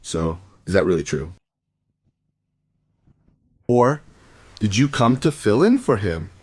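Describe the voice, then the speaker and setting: An actor playing a shady, evasive dealer in a crime radio drama, with a condescending, menacing manner. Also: male voice, on the low side, big pitch swings, slow pace, darkish tone, slightly rough, full character voice.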